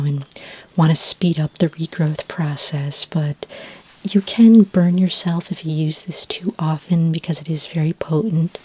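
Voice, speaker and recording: Woman, soft-spoken ASMR consultant role: low, dark and mellow, half-whispered, gently reassuring. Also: slightly rough, rough recording. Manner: hesitant, gently explanatory, reassuring. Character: calm, reassuring female sci-fi skin care consultant. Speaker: ASMR roleplay performer as a skin care consultant.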